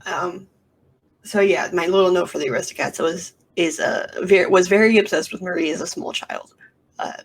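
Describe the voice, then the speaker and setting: A woman's voice: fairly low pitch, a little hiss or hum. A woman hosting a casual solo podcast from her bedroom, rambling with lots of fillers and restarts into a sensitive microphone. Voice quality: raspy. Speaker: podcast host. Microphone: sensitive studio microphone.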